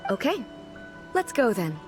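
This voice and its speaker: Casting: composed sovereign for anime game, higher-pitched, earnest and literal-minded, poised diction with expressive melodic swings. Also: female voice, a little slow, music in the background. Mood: reassuring. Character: composed, regal female ruler, curious and innocently literal-minded.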